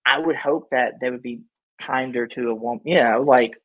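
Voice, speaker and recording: A man's voice: medium pitch, moderate pace, narrow, tinny audio. A man hosting a casual interview, talking in an informal, slangy and opinionated way. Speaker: podcast host.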